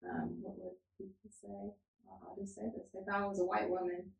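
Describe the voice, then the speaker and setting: A woman co-hosting a podcast as a family therapist, telling stories in a lively way and laughing often. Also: medium pitch, brisk, gravelly. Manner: animated storyteller, laughs often. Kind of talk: casual podcast chat. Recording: echoey room.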